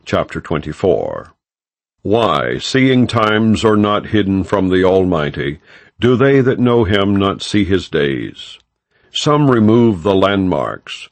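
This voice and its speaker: Low-voiced man reading scripture, slow and expressive. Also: no room sound. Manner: solemn, measured, formal. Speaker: audiobook narrator. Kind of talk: scripted scripture narration, non-dramatized.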